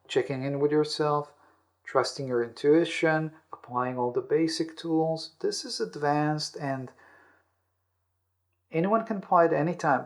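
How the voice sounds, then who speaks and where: A man's voice: a little high, gravelly. A man talking in an interview, explaining self-help ideas earnestly and often rephrasing himself as he goes. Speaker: podcast host and author being interviewed.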